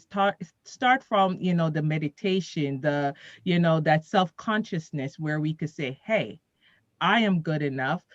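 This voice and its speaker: A woman's voice: low voice, no room sound, muffled recording. Upbeat motivational woman on radio. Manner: motivational, animated, repeats "right?" and "guess what?". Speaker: radio co-host. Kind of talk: casual radio chat.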